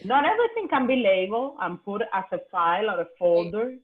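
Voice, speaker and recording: A woman's voice: medium pitch, very animated, fairly quick. A woman from Cuba with a Cuban Spanish accent, a native Spanish speaker and podcast host, talking in emphatic, slightly broken English over a remote call. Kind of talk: casual conversation. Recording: tinny phone-like remote call audio.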